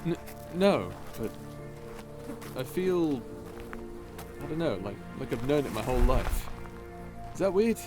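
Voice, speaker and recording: Cocky, playful pirate captain for a sci-fi audio drama, played by a man; mid-pitched, casual and teasing, light music underneath. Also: very animated, a little slow, pauses often. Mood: hesitant, nostalgic. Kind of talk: ASMR roleplay audio drama acting.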